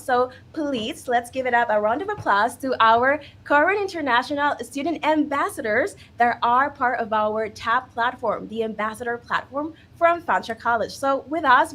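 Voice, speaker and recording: A woman's voice: high-pitched, fairly bright tone. A woman hosting a live student panel, upbeat and encouraging, sometimes restarting her sentences. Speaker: livestream host.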